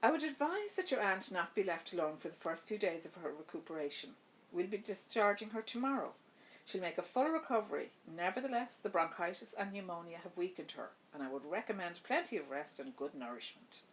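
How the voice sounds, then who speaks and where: A woman's voice: fairly low pitch, lively intonation, a little fast, close to an everyday voice, low-quality recording. A woman voicing a polite, formal hospital consultant in an audiobook story, speaking in a professional manner.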